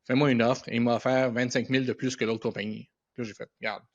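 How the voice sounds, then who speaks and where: A middle-aged man with a Quebec French accent and a mid-pitched voice. He talks as an interview guest in a casual, colloquial storytelling way, dropping in English words. The recording is slightly dull and lo-fi. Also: little pitch movement, fast, a crowd in the background. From Quebec, Canada. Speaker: podcast guest, animation and visual effects professional. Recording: dull, band-limited podcast audio.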